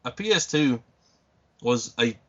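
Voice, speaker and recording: A man in his late twenties talks as a guest on a casual podcast, earnestly reminiscing. His pitch is medium and unremarkable. The sound is dull and lo-fi. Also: lively intonation, a little slow, fairly bright tone. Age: 20s. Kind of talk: casual chat.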